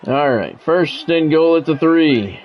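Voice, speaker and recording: A man's voice: fairly high pitch, lively intonation, takes it slowly. A man streaming a sports video game, switching between play-by-play commentary and casual chat. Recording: dull lo-fi audio, music behind.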